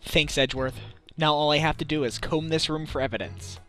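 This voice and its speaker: A man's voice: a little high, steady tone, fairly quick, bright, forceful tone, soft background music. A man voicing an earnest, determined defense lawyer in a game fandub, in a conversational manner. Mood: grateful. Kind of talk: scripted game fandub acting.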